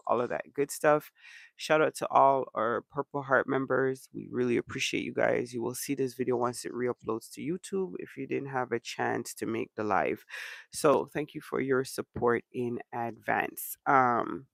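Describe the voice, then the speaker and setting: A man's voice: mid-range voice, creaky, no echo, studio quality. A man from Canada hosting a casual livestream chat, congested from allergies and rambling along with lots of ums. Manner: relaxed rambling with many ums. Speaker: channel host.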